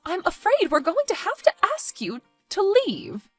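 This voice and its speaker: Woman as high-pitched, overbearing melodramatic mother. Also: huge pitch swings, measured pace, soft background music, exaggerated voice. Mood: pained, firm. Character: overbearing, guilt-tripping, melodramatic middle-aged mother. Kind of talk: dramatic dialogue acting.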